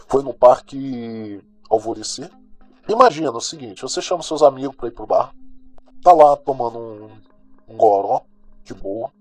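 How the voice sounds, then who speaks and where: A man's voice: mid-range voice, darkish tone. A Brazilian man playing a cynical, gossipy small-town crime news host in an audio drama, talking in a slangy, sardonic, colloquial way. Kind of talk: audio drama monologue as chatty radio host. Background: music.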